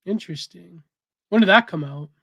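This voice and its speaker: A man's voice: high-pitched, expressive, moderate pace, fairly bright tone, no echo. A congested man co-hosting a podcast in loose, rambling casual chat. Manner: loose and rambling, reads donor messages aloud. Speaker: podcast co-host.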